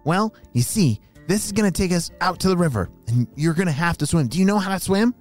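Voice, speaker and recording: A storyteller voicing a friendly, excitable male otter guide in a children's story. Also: mid-range voice, a little fast, soft background music, pitched below the performer's own voice, close to an everyday voice. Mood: urgent, helpful.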